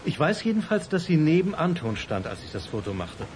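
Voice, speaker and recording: A man's voice: fairly high pitch, big pitch swings, a little fast, background noise. A man playing a worried, earnest father who speaks hesitantly and with suspicion, in a German children's radio play.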